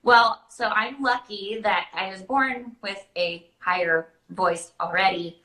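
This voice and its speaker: A woman's voice: medium pitch, slow pace, sharp tone, roomy sound, rough recording. A woman hosting a casual live chat, explaining things informally as she answers viewer questions. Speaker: children's party princess performer and live-stream host.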